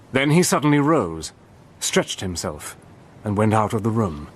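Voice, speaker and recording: An actor narrating and playing a brave, level-headed British army major, telling the story in a crisp, steady way. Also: male voice, fairly low pitch. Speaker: narrator and hero. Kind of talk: radio play narration and acting.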